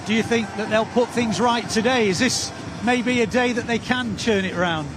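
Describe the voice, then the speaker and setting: A man presenting live at pitch side, putting articulate, formally phrased questions in a pre-match sports interview. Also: moderate pace, music in the background.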